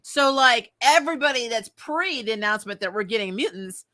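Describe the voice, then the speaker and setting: A woman in an animated casual group chat, reviewing a series as a panelist with an emphatic, joking delivery and a ready laugh. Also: on the high side, brisk.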